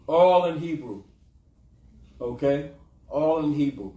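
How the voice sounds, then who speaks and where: Guy teaching a bible class, kinda high voice, repeats himself, echoey and off mic. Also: lively intonation, slow, mellow, highs cut off. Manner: repetitive, punctuating with "okay" and "huh". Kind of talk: informal scripture teaching. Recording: echoey room, distant mic.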